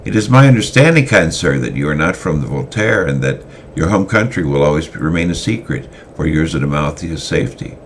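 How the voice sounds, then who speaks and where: A man's voice: a bass voice, animated, a little fast, few pauses, a little hiss or hum. A man voicing an earnest young lad who asks eager questions in archaic phrasing.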